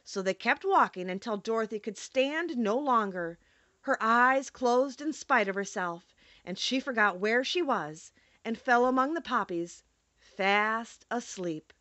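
A woman narrating a children's audiobook in an expressive storytelling style. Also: on the high side, a little slow, dry recording.

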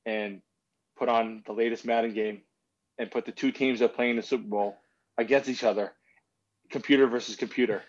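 A man's voice: mid-range voice, fairly even intonation, fairly quick, pauses often. Slightly dull-sounding man in rambling casual chat. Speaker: podcast co-host. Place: room at home, video call. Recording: slightly dull lo-fi call audio.

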